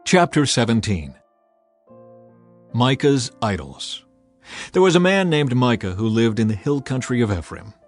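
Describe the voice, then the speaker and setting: A man reading a dramatized Bible as narrator, with measured, storytelling delivery. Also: mid-range voice, very animated, soft background music. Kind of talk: dramatized scripture narration, scripted.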